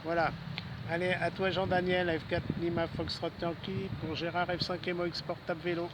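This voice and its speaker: A man's voice: sits high, flat delivery, moderate pace. A man chatting casually and a little hesitantly as an amateur radio operator in a public garden. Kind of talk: casual radio contact chat. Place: outdoors in a city public garden. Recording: noisy outdoor city ambience. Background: urban outdoor noise, traffic.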